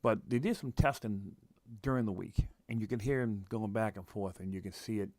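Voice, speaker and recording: A man from New England hosting a casual, unscripted livestream, halting and repeating himself as he thinks aloud. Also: on the low side, a little mellow, dry recording. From New England, United States. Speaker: livestream host, radio scanner hobbyist. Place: room at home. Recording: noticeable background noise, home livestream.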